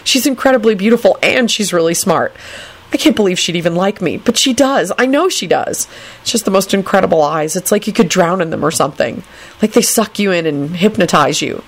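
Lady doing an excitable young guy, cheeky, lovestruck, blurts stuff out, pitch all over, storybook. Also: brisk. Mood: dreamy, smitten. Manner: gushing, impulsive, blurting.

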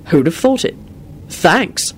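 A woman voicing a gruff adult man with a dry, deadpan way of talking. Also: lowish voice, slow, a little hiss or hum.

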